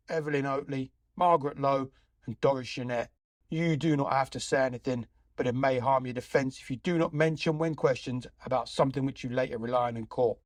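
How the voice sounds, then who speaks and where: A man acting as a stern police inspector, speaking formally and deliberately in an audio play. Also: mid-range voice, no echo, clean studio sound, close to an everyday voice.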